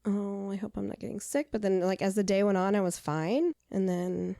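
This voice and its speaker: A young woman with a hoarse, raspy voice chats casually as a podcast co-host, her tone dry and deadpan. The sound is mellow and warm, recorded close and dry with no room echo. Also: mid-range voice, moderate pace. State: hoarse from illness. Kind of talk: casual chat.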